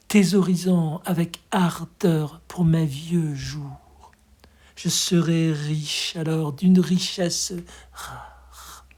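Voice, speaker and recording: Slow, breathy, hushed poetry reader. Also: medium pitch. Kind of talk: slow expressive poetry recitation.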